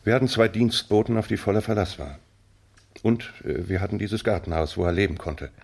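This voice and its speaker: An old colonel and proud, protective father with a low, gravelly voice. His delivery is solemn and dramatic, in 1960s German radio drama style. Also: a little fast, exaggerated voice. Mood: grave, pained.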